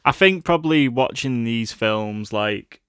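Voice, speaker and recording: A man's voice: medium pitch, lively intonation, unhurried, fairly bright tone, clean tone, no room sound, clean studio sound. A man co-hosting a podcast, talking about films in an informal, conversational way. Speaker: podcast co-host. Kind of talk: casual film discussion.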